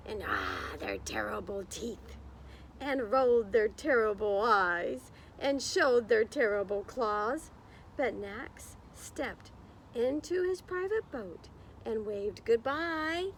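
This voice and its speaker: A woman's voice: a little high, a little hiss or hum, poor audio. A woman reading a picture book aloud to young children, expressively, with encouraging, chatty explanations along the way. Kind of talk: slow children's read-aloud with chatty asides to listeners.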